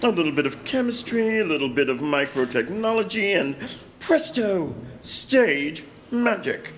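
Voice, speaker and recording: Boastful scientist showman, higher voice, swinging intonation, theatrical, noisy ambience. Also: sing-song, unhurried, fairly bright tone. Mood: proud, showy. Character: showy, proud male scientist showman. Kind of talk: audio drama acting.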